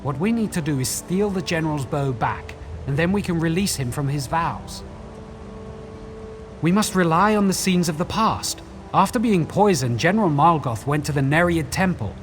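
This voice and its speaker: Fantasy game quest-giver, male actor: scholarly and wary, fairly high voice, formal measured explanations, light music underneath. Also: animated, lightly acted. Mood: measured, explanatory. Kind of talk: video game dialogue, explanatory. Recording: faint music behind.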